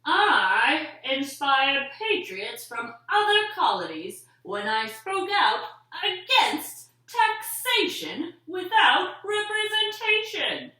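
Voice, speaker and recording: A woman voicing a fiery male Revolutionary War orator for a children's puppet show, declaiming theatrically with drawn-out phrases. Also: high voice, very animated, pushed and bright, voice pushed up, heavily acted. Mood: fiery, proclaiming. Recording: roomy echo, distant mic.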